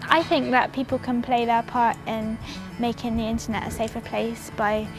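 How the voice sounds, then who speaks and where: Woman, kinda hesitant, flat higher voice, music underneath. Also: normal speed. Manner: hesitant, with fillers and restarts. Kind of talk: recorded testimony. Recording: over background music.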